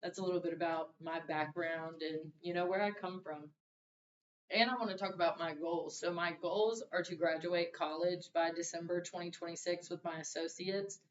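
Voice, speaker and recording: A young woman gives a relaxed, conversational introduction speech to her class. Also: normal speed. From Louisiana and Arkansas. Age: young adult. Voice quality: deep voice. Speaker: student. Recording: roomy, distant, dull mic with faint hiss.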